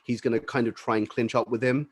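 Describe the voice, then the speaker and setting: A man's voice: mid-range voice, steady tone, fast, slightly rough, no room sound. A man co-hosting a podcast, giving casual fight analysis as if thinking aloud. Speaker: podcast co-host.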